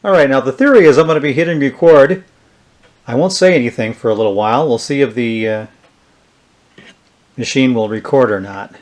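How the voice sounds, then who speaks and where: A man's voice: medium pitch, a little fast, long pauses. A man giving a casual, unscripted hands-on demonstration, thinking aloud as he tests things. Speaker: vintage electronics reviewer.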